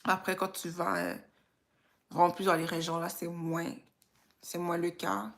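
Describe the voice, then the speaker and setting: A woman with a Québécois French accent, chatting casually and reflectively as a livestream host. She is informal and unhurried, thinking aloud as she goes. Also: lowish voice, level tone, creaky. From Quebec, Canada.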